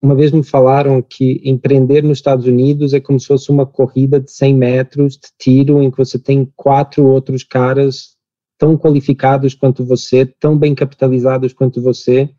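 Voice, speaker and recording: A man from Portugal with a European Portuguese accent talks through his story at a measured pace, often restarting his phrases. His voice is very dark and evenly pitched, with little rise or fall. The sound is dry and a little dull. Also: level tone, no echo. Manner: measured, with frequent small restarts. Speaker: podcast guest, company cofounder. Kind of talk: relaxed business podcast conversation.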